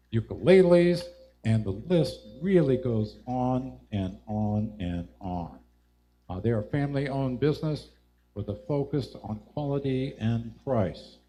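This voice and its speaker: A man's voice: medium pitch, dark tone. A man casually hosting a live music show. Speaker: host and band singer. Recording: roomy live sound with music.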